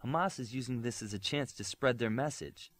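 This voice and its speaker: Guy doing a proud young superhero in an audiobook, argumentative, emphatic, voice pushed higher. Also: fairly quick, fairly bright tone, natural voice. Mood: troubled.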